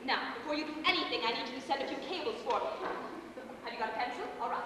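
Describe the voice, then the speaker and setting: An actress playing a glamorous, vain and excitable stage star in a comedy play, with a theatrical, effusive, cooing manner. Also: female voice, high voice, rapid-fire, natural voice. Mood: brisk, grand. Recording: very echoey hall, distant microphone, faint noise.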